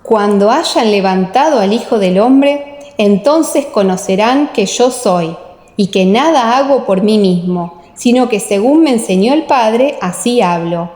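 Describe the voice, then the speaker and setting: Woman voicing slow, solemn Jesus in reverb. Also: medium pitch, animated, a little mellow, lightly acted. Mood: solemn, authoritative. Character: Jesus, solemn authoritative male teacher. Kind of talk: audiobook radio-play dialogue. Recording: echoey, long reverb.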